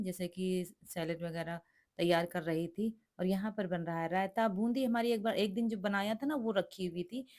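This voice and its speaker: Hoarse middle-aged woman narrating cooking. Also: fairly low pitch, darkish tone. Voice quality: cracked, rough voice. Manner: chatty, explains each step as she goes. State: hoarse, sore throat. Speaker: vlogger cooking for guests. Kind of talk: casual cooking vlog narration.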